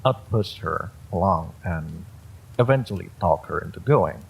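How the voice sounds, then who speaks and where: A man's voice: sits low, lively intonation, measured pace, gravelly, slight background noise, poor audio. A man reading a first-person story aloud as a dramatic narrator. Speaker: story reader.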